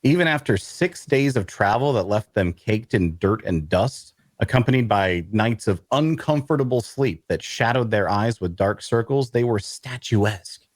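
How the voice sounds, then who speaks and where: An actor playing an awkward, bookish loner adventurer who narrates an audio drama in a wry way. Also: male voice, lowish voice, expressive, moderate pace, few pauses, no room sound. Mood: wry narrating. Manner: wry, hesitant in conversation. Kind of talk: first-person narration and dialogue in audio drama.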